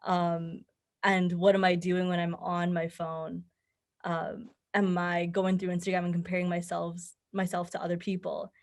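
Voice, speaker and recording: Young woman with an even, lowish voice. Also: normal speed. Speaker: podcast guest, mental health advocate. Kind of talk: conversational interview answers, giving advice.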